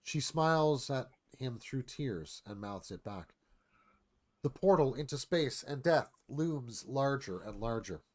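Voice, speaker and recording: A man's voice: medium pitch, lively intonation, takes it slowly. A man reading dramatic scripted narration as screenplay narrator, in clipped phrases.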